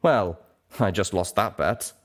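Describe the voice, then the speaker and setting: A man voicing a gentle male pony legal clerk, formal and matter-of-fact, in a story reading. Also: low voice, expressive, measured pace. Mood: puzzled.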